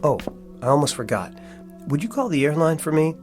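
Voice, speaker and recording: A man's voice: on the high side, very animated, brisk, a little mellow, faint music behind. An actor playing an American businessman in his thirties, a family man who gets emphatic and indignant when confused, in an audio drama. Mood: brisk, cheerful. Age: 30s.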